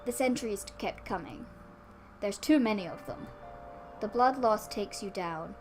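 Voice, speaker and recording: A woman's voice: mid-range voice, expressive, measured pace, darkish tone, soft background music. A woman reading a visual novel aloud as a let's play host, dropping in casual asides. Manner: informal asides between read passages.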